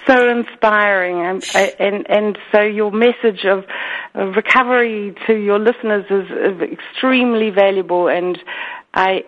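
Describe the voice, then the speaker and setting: A woman with a New Zealand accent, a guest on a radio interview, talking earnestly with many restarts and fillers. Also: mid-range voice. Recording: tinny phone line.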